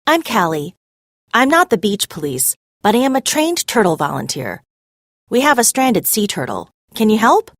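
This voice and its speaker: Lady reading as earnest kid girl from a storybook, determined, super animated, dry close sound. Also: mid-range voice, moderate pace, dry recording, pitched above the performer's own voice. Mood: earnest, pleading. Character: earnest young girl turtle volunteer, eager and determined. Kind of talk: read-aloud storybook dialogue.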